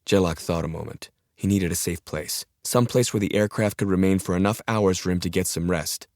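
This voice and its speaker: A man's voice: deep voice, brisk, a little mellow, no echo. A man reading a thriller audiobook as a dramatic scripted narration, recorded close in a studio. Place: dry studio, close mic.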